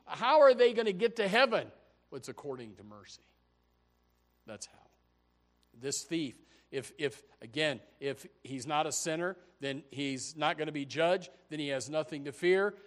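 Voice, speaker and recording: A man in his forties delivers a church sermon with a voice set a little higher than most men's. He surges into loud, emphatic peaks, then sinks into low, quiet stretches, hammering home the same phrases again and again. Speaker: pastor. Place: church service before a congregation.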